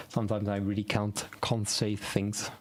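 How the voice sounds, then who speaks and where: A man's voice: lowish voice, completely flat delivery, slow pace, a bit bright, a little hiss or hum. A man whose first language is Dutch, hosting a casual live stream on web design news, rambling and correcting himself as he thinks aloud.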